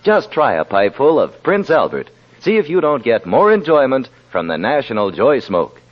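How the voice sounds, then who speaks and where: Vintage American male pitchman, mid voice, animated sell, dull radio sound. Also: normal speed. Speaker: commercial announcer. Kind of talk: 1940s radio advertising read.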